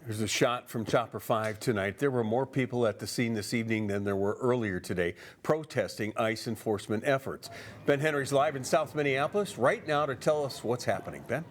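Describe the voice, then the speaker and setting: An American man anchors a television newscast. His voice sits at a middle pitch, and he delivers scripted broadcast news copy. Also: fairly quick.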